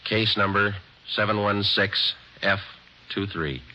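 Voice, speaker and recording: An actor playing a terse male police detective sergeant, speaking in a clipped, deadpan, matter-of-fact way in an old radio drama. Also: fairly low pitch, slow, full character voice. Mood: stern, clipped. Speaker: detective and narrator. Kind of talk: 1940s-50s radio drama acting. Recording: dull lo-fi old radio recording with faint hiss.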